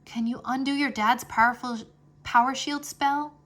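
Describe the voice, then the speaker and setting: A woman's voice: high voice, steady tone, moderate pace, fairly bright tone, pitched above the performer's own voice. Woman voicing an earnest, urgent young boy hero. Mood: focused.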